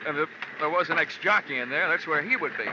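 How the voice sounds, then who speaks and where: An American man playing a cynical, tough insurance investigator in a 1940s radio drama, with sardonic, deadpan wisecracks. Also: on the high side, fast, noisy background, natural voice. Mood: grim narrating. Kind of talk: 1940s radio drama acting, hardboiled first-person narration. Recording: dull vintage radio broadcast, music behind.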